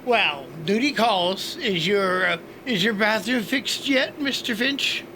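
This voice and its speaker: Man acting a slow, bright-voiced bumbling captain. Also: high voice, expressive, a little hiss or hum, heavily acted. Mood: flustered. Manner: hesitant, flustered, blustering. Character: bumbling, self-important male space station captain. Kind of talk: sci-fi comedy audio drama acting.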